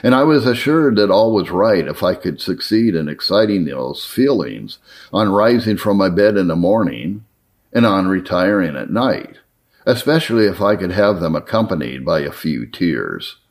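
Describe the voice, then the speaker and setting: A man reading an old formal letter aloud as a narrator, in an earnest, measured way. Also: sits low, animated. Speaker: narrator reading historical religious writings.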